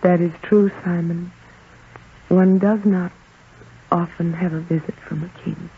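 An actress playing a serene, gentle, devout young wife in a 1940s radio drama, speaking in measured, formal phrases. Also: female voice, on the low side, fairly even intonation, gravelly, slightly rough, full character voice. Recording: muffled vintage 1940s radio broadcast.

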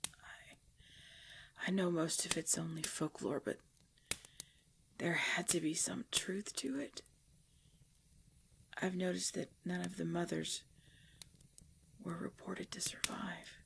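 A woman playing a tormented, devoted pregnant vampire in an intimate ASMR roleplay, halting and breathy, often dropping into a whisper. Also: fairly low pitch, steady tone. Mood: weary, tender. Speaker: voice actor in audio roleplay.

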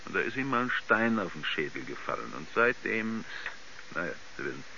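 An actor playing a folksy, skeptical neighbour man with a halting, dismissive way of talking in a radio drama. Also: fairly low pitch, big pitch swings, gravelly, pushed and bright, full character voice, poor audio. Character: folksy, skeptical male neighbour, chatty and hesitant. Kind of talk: 1970s German radio drama acting. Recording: hissy, band-limited 1970s radio recording.

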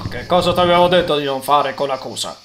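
A man voicing a stern older police car sheriff, gruff and commanding, in a cartoon fan dub. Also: fairly high pitch, quick pace, a bit bright, slight background noise, natural voice.

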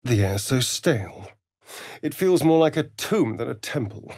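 A flirtatious, theatrical male vampire rogue from a fantasy game. The delivery is arch and unhurried, sliding widely up and down in pitch with sly, sardonic asides. The recording is dry, with no room sound. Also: expressive, a little mellow, no room sound. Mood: grim, musing. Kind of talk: video game character voice acting.

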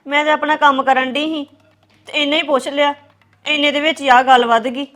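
A woman's voice: high-pitched, steady tone, a little fast, fairly bright tone. An actress playing a young wife who complains tearfully that she is blamed for nothing. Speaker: young wife in a family quarrel. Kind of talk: family drama acting.

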